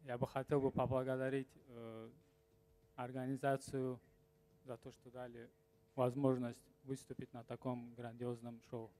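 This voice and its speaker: A man's voice: medium pitch, flat delivery, normal speed, dark tone, rough recording. A man from Dagestan, a Russian-speaking fighter, giving brief, formal thanks.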